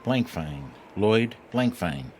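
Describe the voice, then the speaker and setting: A man's voice: on the low side, animated, slow pace, darkish tone, a little hiss or hum. A man playing a reticent, self-justifying fallen banker in a satirical audio play, giving terse answers in corporate jargon. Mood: reluctant.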